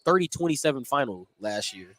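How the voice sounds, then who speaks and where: A man's voice: mid-range voice, animated, fairly quick, a bit bright. A man calling live basketball play-by-play as a sports announcer from a gym. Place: indoor school basketball gym. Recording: noisy gym background.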